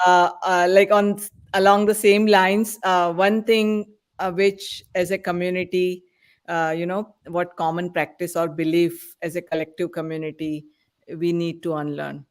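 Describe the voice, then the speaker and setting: Woman podcast host, casual, kinda halting, keeps feeling around for the next word. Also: lowish voice, flat delivery, clear, resonant tone. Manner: halting, searching for words. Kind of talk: casual panel moderating.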